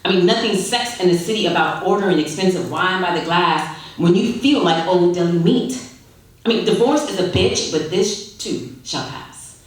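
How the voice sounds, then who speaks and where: A woman reading a literary short story aloud at a live event, expressive and unhurried, with dramatic pauses. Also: lowish voice. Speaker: story reader. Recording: echoey room, distant microphone.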